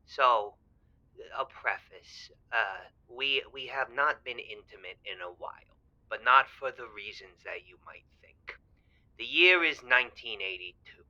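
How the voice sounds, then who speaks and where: Elderly husband male actor, radio-play caller: earnest, fumbling, very sharp-edged tone, slightly muffled lo-fi line. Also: fairly low pitch, full character voice. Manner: hesitant, stammering, earnest. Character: fumbling, naive, eager married man in his eighties. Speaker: caller to a radio advice show. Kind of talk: improvised-sounding comic audio drama.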